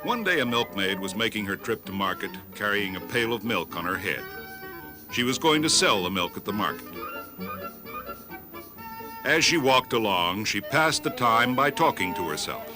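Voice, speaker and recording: A man narrating children's fables as a storybook narrator, with an expressive, measured delivery. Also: on the low side, over music. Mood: storytelling.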